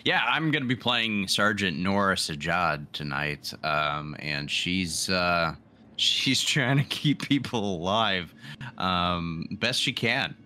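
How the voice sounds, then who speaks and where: A man's voice: low-pitched, slow, pushed and bright, a little hiss or hum. A man from the United States chatting casually as a player in a tabletop game. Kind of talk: casual chat.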